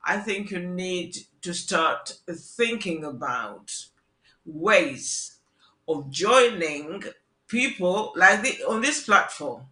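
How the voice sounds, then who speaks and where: A man hosts a call-in political show in a bright, forward voice that sits high for a man. He talks slowly and earnestly, circling back to restart his phrases. His voice rings with the echo of a roomy space. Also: expressive. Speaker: talk show host.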